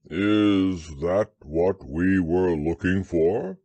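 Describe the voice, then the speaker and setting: A man voicing a gloomy, resigned old male donkey in a storybook reading, talking in a slow, sighing, mournful drawl. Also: low voice, warm tone, slightly rough, no room sound, highs cut off, exaggerated voice. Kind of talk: storybook character voice.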